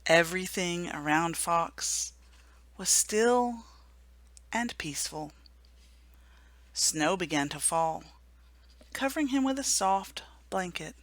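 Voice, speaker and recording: Slow, gentle woman reading a storybook. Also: on the low side, lively intonation, no echo. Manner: gentle, hushed at sad moments. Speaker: story reader. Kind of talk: storybook reading at a memorial service.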